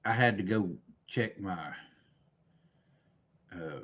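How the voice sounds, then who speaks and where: A man's voice: low voice, slow pace. An easygoing man chatting and teasing on a livestream panel. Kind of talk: casual livestream chat. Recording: dull lo-fi stream audio.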